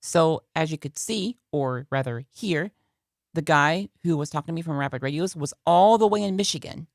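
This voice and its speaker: A woman's voice: low voice, moderate pace, no room sound, studio quality. A woman hosting a casual product review in a conversational way, often repeating phrases for emphasis. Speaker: video host and product reviewer.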